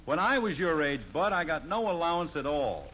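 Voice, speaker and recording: A man's voice: a little high, very animated, normal speed, a bit bright. A man playing an exasperated middle-aged American father in a 1950s radio sitcom, blustery and dryly sarcastic. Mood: wry. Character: exasperated middle-aged father, short-tempered yet wry. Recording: dull, noisy old radio recording.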